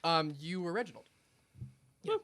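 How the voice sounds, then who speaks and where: A man's voice: a little high, expressive, moderate pace, fairly bright tone. A young man hosting a radio show, chatting casually in a jokey, mock-boastful way. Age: young adult. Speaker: radio show host and writer. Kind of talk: casual on-air chat. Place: radio studio.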